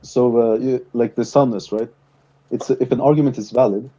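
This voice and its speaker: Mellow-voiced young man debating haltingly. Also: medium pitch, steady tone, breathy edge, dull audio. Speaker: debate participant. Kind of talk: casual online debate. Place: online voice call.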